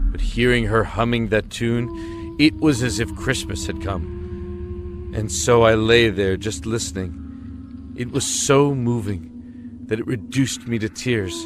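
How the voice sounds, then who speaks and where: A man playing a despairing, regretful prisoner in a dark cell, speaking haltingly and reflectively in a dramatic audio monologue. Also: mid-range voice. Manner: halting, reflective, emotive delivery. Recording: echoey, with music underneath.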